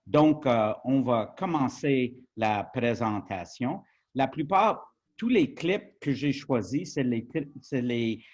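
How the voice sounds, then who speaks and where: A man's voice: medium pitch, darkish tone, highs cut off. A man from Quebec whose first language is English, giving a journalism training webinar in French with an English-speaking accent and many hesitations. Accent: English-speaking Canadian accent in French. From Quebec, Canada. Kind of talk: webinar presentation.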